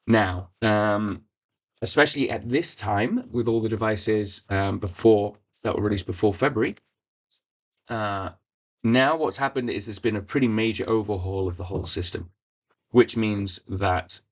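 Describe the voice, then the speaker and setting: Male maker, Welsh native speaker, low gravelly voice, loose unscripted how-to with lots of ums. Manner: rambling, many fillers and restarts. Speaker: device maker. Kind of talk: casual instructional walkthrough.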